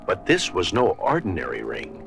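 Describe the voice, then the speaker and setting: A man narrating a scripted children's story in a dramatic, deliberate storybook style. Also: low voice, huge pitch swings, music in the background.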